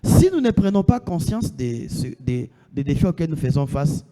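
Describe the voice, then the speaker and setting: A man's voice: fairly high pitch, big pitch swings, normal speed, dark tone, in a large hall. A man in his thirties preaching a sermon, asking the congregation questions and answering them himself, repeating his phrases. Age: 30s.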